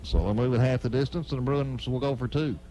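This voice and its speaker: A man's voice: medium pitch, fast talker, background noise. A man giving live radio play-by-play commentary of a football game, as the announcer.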